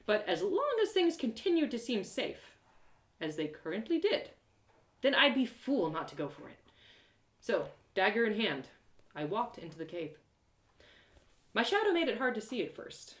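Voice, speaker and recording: A woman narrating a first-person story in an animated, theatrical way, with dramatic pauses. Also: medium pitch, roomy sound. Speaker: storyteller performing an audio drama live.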